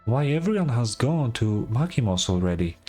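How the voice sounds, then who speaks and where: Grandiose zealot bell ringer done by a man, mid-pitched, preaching, archaic, pompous, faint music behind. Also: big pitch swings, normal speed, a little mellow. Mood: reverent. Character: pompous zealous male bell ringer, dreamy cult devotee. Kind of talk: game dialogue read in character.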